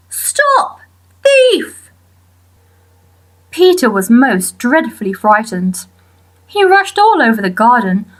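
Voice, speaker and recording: A woman narrates a children's story in a fairly high voice. She reads at an unhurried pace, with lively swings of intonation. Her delivery is expressive, with deliberate pauses for effect. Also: low-quality recording. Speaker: audiobook narrator.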